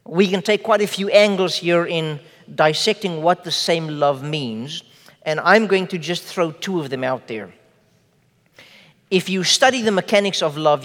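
Afrikaans-speaking man preaching in a hall. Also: on the high side. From South Africa. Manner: measured preaching with slow emphatic phrases and rhetorical questions. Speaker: pastor. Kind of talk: sermon.

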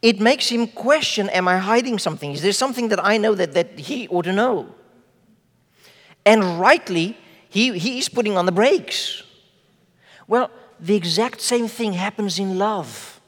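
A man whose first language is Afrikaans preaches from the pulpit with a fairly high voice, slowing down to lean on key words and asking questions of the room. A long reverb from the hall trails after his phrases. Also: animated, a bit bright. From South Africa. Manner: measured preaching with slow emphatic phrases and rhetorical questions. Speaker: pastor. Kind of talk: sermon.

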